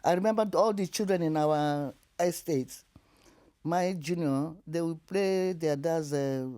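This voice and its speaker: An older Nigerian woman recounts her past as an interview guest. Her voice is mellow and warm, and she tells even hard memories in an animated way, laughing as she goes. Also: sits low, a little slow. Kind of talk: recounting her life story.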